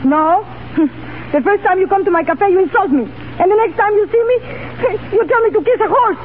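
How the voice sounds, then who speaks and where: Fiery high-voiced French cafe girl. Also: fairly quick, slight background noise. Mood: angry, indignant. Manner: impetuous, coquettish, broken English. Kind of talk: 1930s radio drama acting. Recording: tinny 1930s radio recording, loud music.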